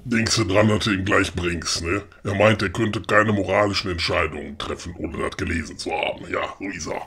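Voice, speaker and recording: An actor playing a grumpy, inquisitive old puppet maker in a comic audio play, colloquial and questioning, with a husky voice. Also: low voice, brisk, a bit bright, full character voice. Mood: dry, bossy. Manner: colloquial, grumbling, questioning with tag "ne". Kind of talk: comedic audio play dialogue.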